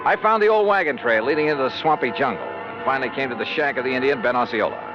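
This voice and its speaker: An American actor playing a tough, dogged investigator in a 1950s radio detective drama, brisk and clipped in his delivery. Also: medium pitch, natural voice. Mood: matter-of-fact narrating. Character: tough, dogged male insurance investigator narrating his case. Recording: muffled old radio broadcast with loud music.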